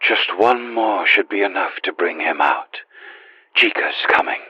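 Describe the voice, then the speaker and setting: An actor playing a grave, urgent guide in a video game, husky and heard through a radio filter. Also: male voice, deep voice, a little slow, bright, forceful tone, no echo, lo-fi sound, heavily acted. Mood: grave, watchful. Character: grave, urgent male guide helping the player.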